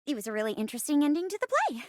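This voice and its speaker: Theatrical game bride, very high girlish voice, melodramatic, lovestruck, unhurried, swooping delivery. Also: huge pitch swings, dry recording, clean studio sound. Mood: bashful, giddy. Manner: grand stage declamation, breaking into bashful chatty asides. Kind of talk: exaggerated romantic stage-play acting in a video game.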